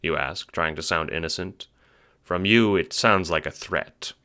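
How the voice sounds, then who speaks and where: A man's voice: low-pitched, a little slow, sharp tone, clear, resonant tone. A man narrating an audio drama in dramatic, scripted second-person storytelling.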